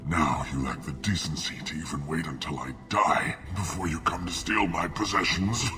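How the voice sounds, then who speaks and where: An actor playing a bitter, plague-stricken alien in a video game, sick, weakened and halting. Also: male voice, low voice, sharp tone. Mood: bitter, angry. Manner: slow, embittered, halting. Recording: reverberant hall sound, music behind.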